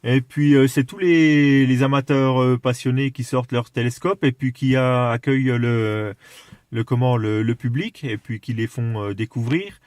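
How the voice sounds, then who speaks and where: A man in a casual radio chat, explaining things with enthusiasm while haltingly searching for his words. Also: mid-range voice, steady tone, darkish tone, no room sound. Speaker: amateur radio operator.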